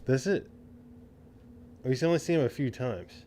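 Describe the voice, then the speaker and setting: A man's voice: medium pitch, expressive, moderate pace, dark tone, a little hiss or hum. A man co-hosting a podcast, chatting casually about shows in an easygoing, agreeable way. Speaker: podcast co-host.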